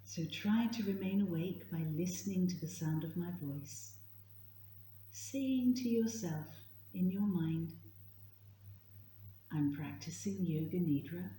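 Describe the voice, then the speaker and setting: A woman leading a guided yoga nidra meditation in a gentle, soothing, hushed manner, leaving long pauses. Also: lowish voice. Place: indoor room at a retreat, live session. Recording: roomy echo, faint background noise.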